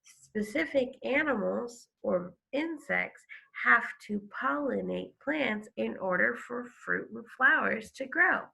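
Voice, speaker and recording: Female primary teacher, read-aloud: bright tone, unhurried, some creak, emphatic delivery. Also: fairly high pitch, lively intonation, poor audio. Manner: deliberate, emphatic, questioning. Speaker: second grade teacher. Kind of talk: instructional read-aloud for children.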